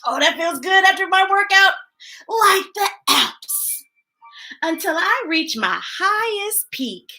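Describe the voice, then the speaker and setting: Very high, animated woman reading to children. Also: slow, faint music behind. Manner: animated, gushing, playful. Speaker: story time host reading picture books aloud. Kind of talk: expressive children's read-aloud with chatty asides to viewers.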